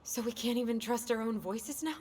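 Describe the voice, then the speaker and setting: Young female game lead woman acting, hurt and guarded, naturalistic read; clear background noise on the track. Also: on the high side, brisk, a little mellow, close to an everyday voice. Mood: hurt, angry. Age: young adult.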